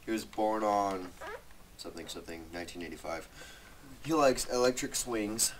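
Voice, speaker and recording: A man's voice: on the low side, expressive, moderate pace. Young man joking, distant mic. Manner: joking, rambling. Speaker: video co-host. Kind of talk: casual banter, reading notes aloud. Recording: noisy, distant microphone with clicks.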